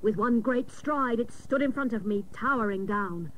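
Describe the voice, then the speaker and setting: An actress playing a hapless, literal-minded female private detective, narrating a comic radio serial with mock-dramatic seriousness and deadpan jokes. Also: a little high, moderate pace, lightly acted. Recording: noisy old cassette of radio broadcast.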